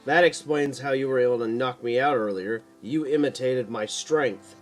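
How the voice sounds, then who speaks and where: A man's voice: medium pitch, moderate pace, faint music behind, natural voice. A man voicing a hot-headed young college student with superpowers in an audiobook, exclamatory and easily frustrated. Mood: smug.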